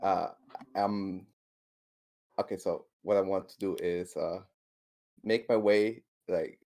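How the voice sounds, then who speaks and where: A man's voice: deep voice, fairly even intonation, slow, darkish tone. A man chatting casually as a player in a tabletop roleplaying game, tentatively thinking aloud.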